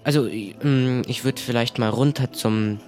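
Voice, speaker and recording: A man's voice: medium pitch, normal speed, a little mellow, soft background music. A man playing along in an improvised audio adventure, casual and tentative. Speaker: player in an improvised audio play. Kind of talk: casual improvised role-play.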